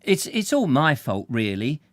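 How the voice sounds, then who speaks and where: A man's voice: medium pitch, sing-song, a little slow, no room sound. A man voicing a nervous, apologetic middle-aged man who stammers and repeats himself.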